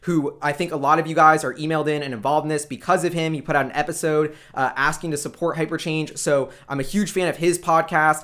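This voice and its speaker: A man with a fairly high-pitched voice speaks as the host of an investing channel. He addresses his followers in casual, informal commentary. His manner is earnest and enthusiastic. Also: fairly bright tone.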